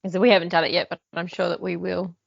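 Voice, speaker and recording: A woman's voice: on the low side, flat delivery, fast, vocal fry, no room sound, muffled recording. A woman chatting casually as a podcast co-host. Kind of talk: casual chat.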